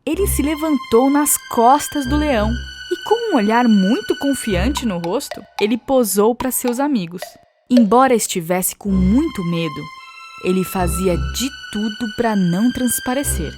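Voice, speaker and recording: High-voiced Brazilian woman storyteller. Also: lively intonation, measured pace. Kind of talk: scripted children's fable narration. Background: children's music.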